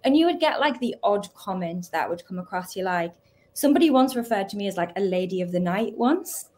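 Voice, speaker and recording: Geordie woman, recruiter, chatty, lots of filler words, mid-pitched voice. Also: lively intonation, fairly quick, darkish tone. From Northeast England. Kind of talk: casual panel chat.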